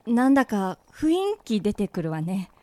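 A woman's voice: medium pitch, lively intonation, moderate pace, a little mellow, no echo, natural voice. A woman playing a sensible, exasperated young woman who scolds her friends, in an amateur radio drama. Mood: sceptical.

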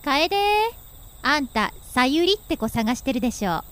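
Radio drama older sister, woman acting: high, swooping delivery, bossy, teasing, caring underneath. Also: expressive, fairly bright tone, a little hiss or hum. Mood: brisk, scolding. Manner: brisk, teasing, blunt with her brother.

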